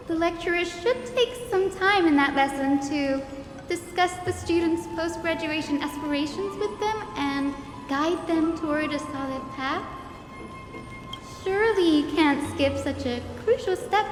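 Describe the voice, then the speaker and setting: An actress playing a young teaching assistant in a video game, polite, earnest and gently insistent. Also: female voice, very high-pitched. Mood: politely insistent. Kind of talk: dramatic video game voice acting. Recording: echoey long reverb with music behind.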